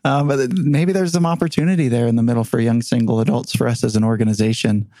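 A man's voice: medium pitch, fast, mellow, no room sound. A middle-aged man serving as a bishop, speaking earnestly with frequent hesitant fillers. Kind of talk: casual discussion.

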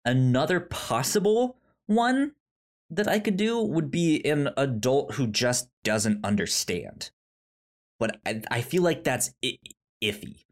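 Stammering podcast host, lively swooping pitch. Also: takes it slowly. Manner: stammering, repeats syllables and words. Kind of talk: casual film review chat.

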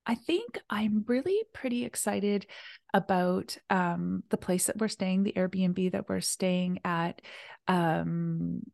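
Female retreat host, casual and enthusiastic, gravelly voice, drifts into soft near-whispers. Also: lowish voice, slow, no echo, clean studio sound. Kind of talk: casual conversation.

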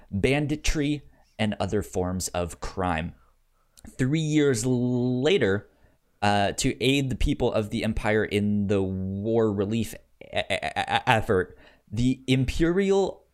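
A man co-hosting a podcast in casual chat, reading out pitches and often stammering over words as he reads aloud. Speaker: podcast co-host.

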